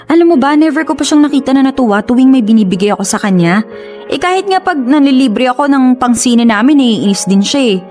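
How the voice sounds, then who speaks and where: An actress plays a young Filipino woman venting about her love life in a radio drama. Her voice is high and earnest, with an exasperated edge, over faint music. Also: lively intonation, fast talker, a little mellow. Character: frustrated young girlfriend confiding in her friend. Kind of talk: Tagalog radio drama acting.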